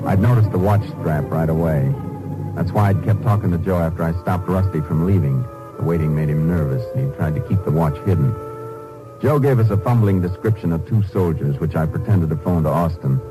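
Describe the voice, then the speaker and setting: An actor playing a calm, shrewd Texas Ranger investigator in a measured, laconic 1950s radio drama style. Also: male voice, sits low, over music, natural voice, poor audio. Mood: measured narrating. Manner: measured, probing, deadpan. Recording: old 1950s radio broadcast recording.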